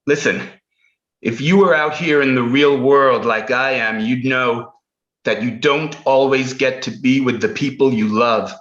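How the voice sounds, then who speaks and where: Actor as a bossy author lecturing his character; slow, slightly high, roomy dull recording. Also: steady tone, fairly bright tone, natural voice. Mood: exasperated. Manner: exasperated, lecturing. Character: controlling male novelist arguing with his own character. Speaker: improv performer. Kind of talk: improvised stage comedy.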